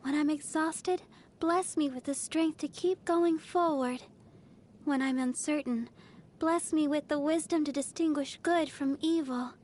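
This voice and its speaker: A woman's voice: high-pitched, measured pace, a little mellow. A woman voicing a cheerful, devoted young girl idol healer in a video game, sweet, earnest and bubbly. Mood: earnest, prayerful. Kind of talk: video game character voice lines.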